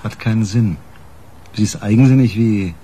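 A man acting as a weary police detective chief in a radio crime play. His voice is low, his manner curt and probing, with some noise behind him. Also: normal speed, warm tone, lightly acted. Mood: resigned. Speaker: actor in a radio crime drama.

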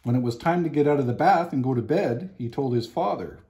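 A man, reading a children's picture book aloud expressively, with deliberate, emphatic pauses. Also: medium pitch, lively intonation, dark tone. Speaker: storybook reader. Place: home living room, indoors.

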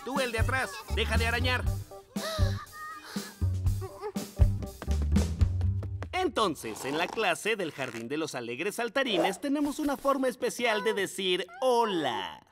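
A woman's voice: mid-range voice, big pitch swings, moderate pace, few pauses, natural voice. A woman voicing a cheerful male mole kindergarten teacher with a sing-song manner, dubbing a cartoon. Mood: instructing. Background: music.

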